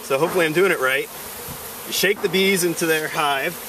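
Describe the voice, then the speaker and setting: A man's voice: on the high side, brisk, background noise. Hesitant man narrating a beekeeping job. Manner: hesitant, unsure, self-deprecating. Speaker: first-time beekeeper. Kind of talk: casual how-to narration while working. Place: outdoors in a leafy yard. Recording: outdoor camera audio with buzzing bees, from a distance. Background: buzzing bees.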